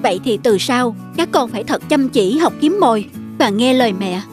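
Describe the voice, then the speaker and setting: A woman's voice: a little high, expressive, moderate pace, lightly acted. A woman voicing a protective mother starling in a cartoon fable, scolding and instructing. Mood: scolding, concerned. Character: protective mother starling, female adult bird, sternly loving. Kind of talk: animated fable dubbing. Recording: children's music behind the voice.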